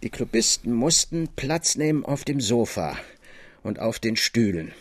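A man's voice: medium pitch, very animated, a little slow, slight background noise. A man narrating a literary radio drama from a script.

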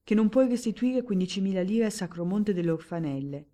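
A woman doing a smooth, confiding young marquis. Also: medium pitch, animated, fairly quick, pitched above the performer's own voice, lightly acted. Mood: coaxing. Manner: hushed, persuasive, confiding. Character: sly, genial young male marquis, smooth-talking friend. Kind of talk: audiobook character voice.

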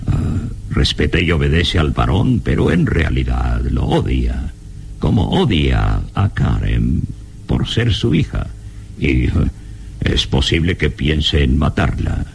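An actor playing an anxious, suspicious professor in a vintage radio drama, speaking in a hesitant, dramatic way. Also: male voice, a bass voice, gravelly, a little mellow, heavily acted. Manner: hesitant, halting, dramatic delivery. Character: anxious, suspicious older professor warning of danger.

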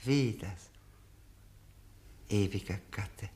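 Slow, servile drunken man. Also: on the low side, darkish tone, a little hiss or hum. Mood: sly, subdued. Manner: obsequious, halting, slyly polite with smirking undertone. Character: drunken, servile, slyly evasive middle-aged widower and official. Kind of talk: German radio play acting.

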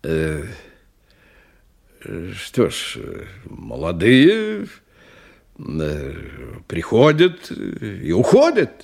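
A man's voice: fairly low pitch, huge pitch swings, very slow, gravelly, long pauses, darkish tone, heavily acted. An actor playing an evasive agent who nervously reports to his boss in a hesitant, deferential way, in radio drama style. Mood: hesitant, self-assured. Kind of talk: Russian radio drama acting, circa 1990.

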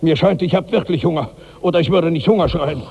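An actor playing a gigantic, self-pitying rich glutton of 65 in theatrical, grandiose 1960s radio drama style, swinging between brooding and giddy. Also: male voice, a little high, a little fast, vocal fry, darkish tone, breathy edge, dull audio. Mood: resigned, hungry. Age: older. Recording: reverberant 1960s radio studio recording.

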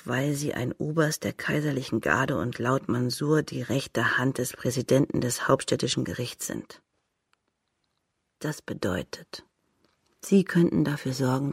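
Proud, husky courtesan with vocal fry. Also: female voice, rumbling low, a little slow, no echo, studio quality, exaggerated voice. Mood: calculating, demanding. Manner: cynical, dismissive, frank. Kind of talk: radio drama acting.

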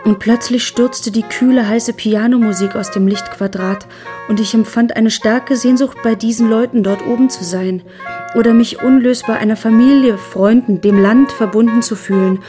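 A woman narrator reading scripted literary prose in a measured storytelling style. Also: fairly high pitch, steady tone. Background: plucked guitar music.

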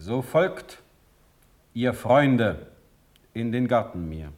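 A slow, commanding sovereign. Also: male voice, medium pitch, expressive, darkish tone. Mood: calm authority. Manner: measured, solemn, authoritative declamation. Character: stern, magnanimous ruling prince, measured and commanding. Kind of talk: classical German radio drama acting in verse.

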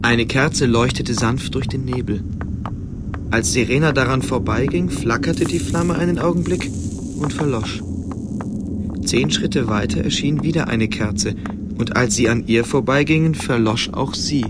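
An actor as the calm narrator of a fantasy radio play, reading in an atmospheric storybook style. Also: male voice, medium pitch, measured pace, natural voice. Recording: clear ambient noise behind narration.

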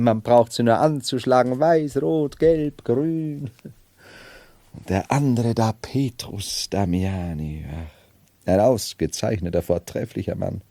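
A man's voice: medium pitch, darkish tone, no echo. An actor playing a scornful, imperious man who feigns madness, in a theatrical 1970s radio drama monologue. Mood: mocking. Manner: theatrical, mocking, brooding monologue. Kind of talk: 1970s German radio play acting.

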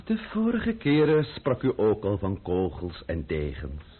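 Casting: sneering, mock-polite revolutionary official for radio drama, hugely animated delivery, narrow vintage sound. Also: male voice, on the low side, breathy edge, full character voice. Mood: sarcastic reproach. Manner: sneering, mock-polite, drawn-out, bursting into shouts. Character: sarcastic, menacing French revolutionary official berating a subordinate. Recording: muffled old radio play recording.

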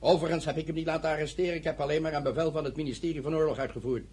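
An actor playing a stern middle-aged revolutionary official, curt and commanding in a radio play. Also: male voice, fairly high pitch, steady tone, very fast, darkish tone, breathy edge. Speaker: prison commander.

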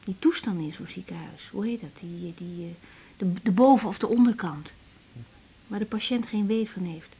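An actress playing a young doctor, tender and troubled, in an intimate, hesitant radio drama style. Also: female voice, medium pitch, normal speed, mellow, breathy edge, low-quality recording. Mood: melancholy. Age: young adult. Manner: intimate, hesitant, sighing delivery. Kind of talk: naturalistic radio drama acting.

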